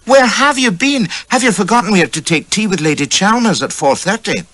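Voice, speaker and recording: Audiobook role: excitable young upper-class English wife, high and bright, squealing and gushing. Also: male voice, brisk, dry recording. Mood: scolding, coaxing. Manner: gushing, exclamatory.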